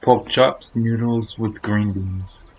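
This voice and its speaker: A man's voice: lowish voice, fairly even intonation, slow pace, very noisy. A man chatting casually on a live game stream, with a lingering cough. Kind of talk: casual live stream chat while playing.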